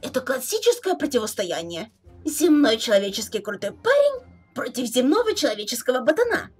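Woman playing a raspy, high-pitched teasing troll girl. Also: sing-song, fairly quick, soft background music, exaggerated voice. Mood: teasing, excited. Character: teasing, bossy teenage alien troll girl. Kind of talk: fan dub of a webcomic chat.

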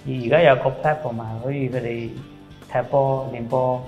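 A man from Hong Kong talks in a relaxed, plain way at an average pitch, sprinkling in English words as he describes his work. Soft music plays faintly under the interview. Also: moderate pace, lo-fi sound. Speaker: activity coordinator. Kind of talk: documentary interview.

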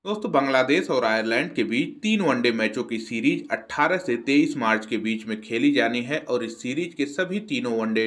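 A young man hosting a cricket channel, reading a brisk, informative pitch report as a voice-over and addressing viewers as friends. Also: fairly bright tone.

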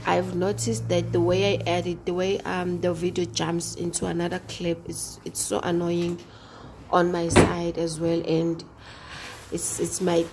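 Casting: woman homemaking vlogger, very level intonation, conversational and halting, over a clearly noisy home recording. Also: lowish voice, completely flat delivery. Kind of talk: casual chatty voice-over to viewers.

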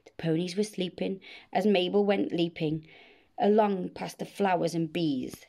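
A woman narrates a rhyming children's story. She takes it slowly and expressively, measuring out each phrase. Her tone is mellow, with a noticeable creak in it. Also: fairly low pitch. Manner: measured, expressive bedtime reading. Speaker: storybook reader.

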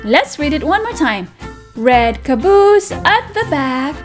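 A woman teacher reading a picture book aloud to toddlers in an animated, sing-song manner, with simple repeated words and questions. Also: high-pitched, takes it slowly. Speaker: children's teacher and read-aloud host. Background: background music.

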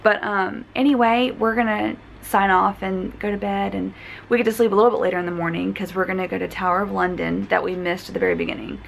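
A young woman with a hoarse voice, talking earnestly to a handheld camera in a hotel room, with many fillers and restarts. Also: medium pitch, fairly even intonation, fast talker, slight background noise, poor audio. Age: young adult. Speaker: travel vlogger. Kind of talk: casual vlog chat. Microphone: phone camera at arm's length.

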